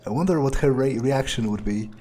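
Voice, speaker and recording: A man's voice: mid-range voice, brisk, warm tone, close to an everyday voice. A man playing a callous, darkly amused vampire, musing hesitantly to himself as in-character video game commentary.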